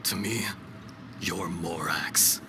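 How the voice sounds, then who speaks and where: Deep, solemn ancient dragon. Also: male voice. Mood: wistful. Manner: slow, solemn, measured, lofty phrasing. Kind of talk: dramatic video game voice acting. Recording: music under the voice.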